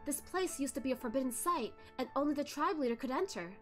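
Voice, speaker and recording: Lady doing a chill patient young woman explaining stuff, bit high-pitched, music in the back. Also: lively intonation, fast. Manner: careful, explaining.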